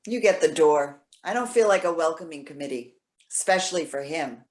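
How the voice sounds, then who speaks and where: Woman acting a stubborn elderly mother, animated pitch. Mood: sullen, reluctant. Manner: curt, sullen, halting when pleading. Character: elderly stubborn lovelorn mother, resentful and dejected. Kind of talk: readers theater stage acting.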